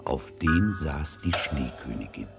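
A man's voice: very deep voice, lively intonation, slow pace, creaky, over loud music. A man narrating a scripted fairy tale as the storyteller of an audio drama.

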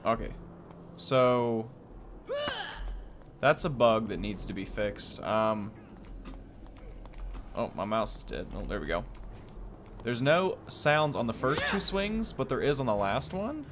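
A man's voice: mid-range voice, very animated, slow pace, long pauses, a bit bright. Young guy with game noise behind. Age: young adult. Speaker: gaming video host. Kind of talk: casual game commentary. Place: indoor room, at a desk. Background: video game ambience.

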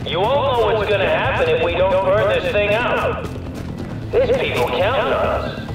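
A fervent male militant leader rallies his followers in a video game. His high-pitched, folksy speech rings out from a distance with heavy echo, over loud music. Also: expressive, normal speed. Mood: rousing, defiant. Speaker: gang leader. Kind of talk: rallying speech.

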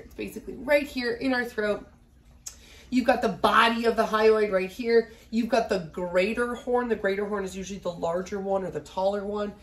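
A woman in her thirties giving a casual recorded biology lecture from a small home office, a little nasal from a cold, often asking "right?". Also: medium pitch. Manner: chatty, asks "right?" often, fillers. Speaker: biology instructor.

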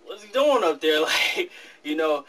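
A man's voice: a little high, expressive, fairly bright tone, soft background music. An eighteen-year-old man, a young rapper, answering interview questions earnestly in a small studio office. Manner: fast, earnest, laughs easily. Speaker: rap artist guest. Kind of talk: casual interview. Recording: distant camera mic with faint room noise.

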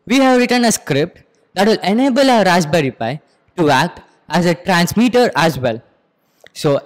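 A teenage male student with an Indian accent gives a rehearsed, formal presentation. His voice is high for a male speaker. The room around him rings with long reverb. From Andhra Pradesh, India. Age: teens, about 15. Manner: formal, explanatory. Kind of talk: rehearsed school project presentation. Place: school computer lab, indoors. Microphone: handheld microphone.

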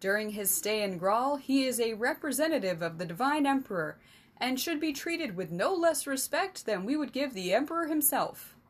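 A woman's voice: a little high, lively intonation, moderate pace. A woman voicing a short-tempered, embattled male king who snaps commands through gritted teeth. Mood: stately.

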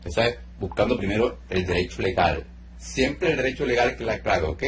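A man teaching a deliverance ministry class in an indoor studio, preaching emphatically and swelling into loud rebukes, on a lapel mic. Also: fairly low pitch, lively intonation, normal speed, noisy background.

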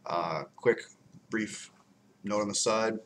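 A man's voice: lowish voice, slow pace, a bit bright, a little hiss or hum. A young man casually reviewing a beer at home, rambling and repeating words for emphasis, recorded on a desk webcam. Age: young adult. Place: home room by window blinds, indoors.

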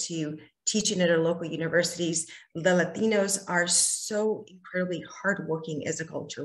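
A woman's voice: lowish voice, rough recording. Earnest woman senator on a video call. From California. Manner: earnest, many fillers and restarts. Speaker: state senator, interview guest. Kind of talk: candid answers in a virtual town hall. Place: home office, indoors.

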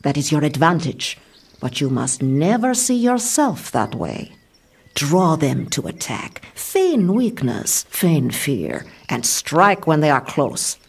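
A woman playing a stern martial arts master in a comic audio drama, husky, commanding and haughty. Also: low-pitched, big pitch swings, takes it slowly, heavily acted. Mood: cold, commanding.

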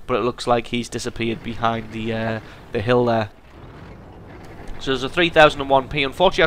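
A man's voice: medium pitch, a little hiss or hum. Man with a cold narrating a game replay. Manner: lively, amused commentary with chuckles. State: has a cold. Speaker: gaming channel host.

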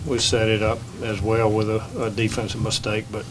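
An older man, a baseball head coach, answering questions at a postgame press conference in a plain, measured way at a table microphone. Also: fairly low pitch, monotone, heavy background noise. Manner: measured, plain-spoken, many restarts. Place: press room. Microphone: table mic.

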